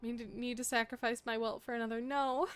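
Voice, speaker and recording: A woman's voice: on the high side, flat delivery, fast talker, clean tone. A young adult woman streaming a game, chatting casually in a playful, teasing way and laughing often.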